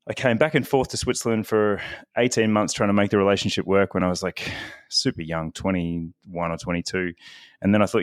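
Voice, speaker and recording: A man's voice: low-pitched, no room sound, clean studio sound. A man, a podcast guest talking casually and laughing often at himself. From Australia. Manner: chatty, self-deprecating, laughs often.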